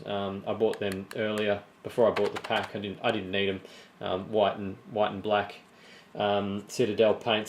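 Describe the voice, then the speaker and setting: Croaky low-voiced man. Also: slight background noise. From Australia. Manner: hesitant, full of ums and restarts, explaining step by step. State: slightly croaky voice. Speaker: hobby painter showing his miniatures. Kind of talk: casual show-and-tell vlog.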